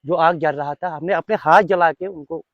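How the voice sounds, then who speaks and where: A man's voice: fairly high pitch, normal speed, dry recording. Young male, Kashmiri accent, earnest interviewee repeating phrases for emphasis; dry, slightly lo-fi recording. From Kashmir, India. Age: young adult. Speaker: volunteer being interviewed. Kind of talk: unscripted interview answers.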